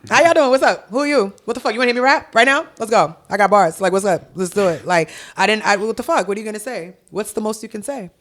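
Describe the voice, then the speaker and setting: A young adult woman from Haiti, a guest on a podcast, telling stories in an animated, profane way over a headset mic in a dark studio. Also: medium pitch, quick pace, hardly any pauses. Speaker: guest, hip-hop artist. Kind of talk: casual podcast interview. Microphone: headset with boom mic.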